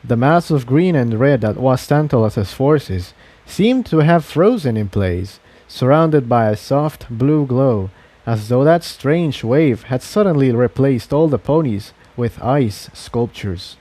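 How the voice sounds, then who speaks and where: A man's voice: mid-range voice, lively intonation, slow, dark tone, no echo. A man reading an adventure story as a dramatic audiobook narration. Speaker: fan fiction narrator.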